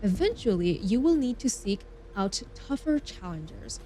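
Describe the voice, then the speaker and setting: A woman game streamer reading story text aloud, stumbling over words now and then and breaking off into expressive asides. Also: mid-range voice, normal speed. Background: music.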